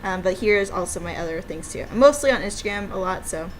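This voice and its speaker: A woman chatting casually and excitably as she plays through a visual novel she made. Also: medium pitch, fast talker, a little hiss or hum, poor audio. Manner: giggly, excitable, informal. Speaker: game developer streaming own visual novel. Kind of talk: casual playthrough chat, reading dialogue aloud.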